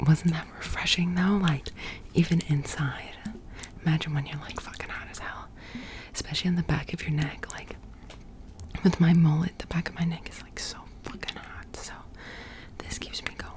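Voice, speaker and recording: A woman with an American accent speaks in a low, hushed whisper. She chats casually and informally, as in a soft-spoken ASMR roleplay. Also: steady tone, moderate pace. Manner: casual, chatty, informal with swearing. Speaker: ASMR creator.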